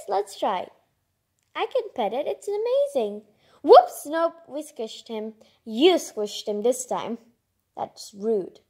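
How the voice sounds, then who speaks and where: A woman reading a children's picture book aloud, expressively and informally, with chatty asides and exclamations. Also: high-pitched. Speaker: read-aloud narrator.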